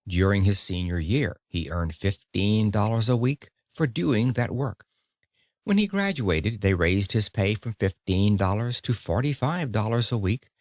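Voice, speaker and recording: A man reading an audiobook of a speech in an expressive storytelling manner, with dramatic pauses. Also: on the low side, no room sound, clean studio sound. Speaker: audiobook narrator. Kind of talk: scripted audiobook narration of a speech.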